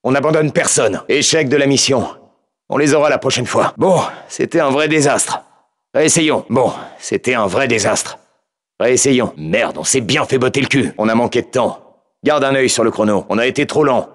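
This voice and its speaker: An actor playing a gritty, commanding special-forces lieutenant in a video game, giving terse, clipped orders. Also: male voice, medium pitch, animated, normal speed, natural voice. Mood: controlled, stern, then low, firm. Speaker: squad leader. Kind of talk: video game combat voicelines, French dub.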